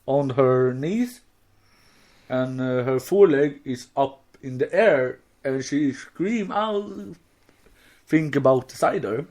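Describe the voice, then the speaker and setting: A man with a Swedish accent chatting as a podcast co-host, halting as he searches for words in English. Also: mid-range voice, animated, darkish tone. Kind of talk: casual fan podcast chat.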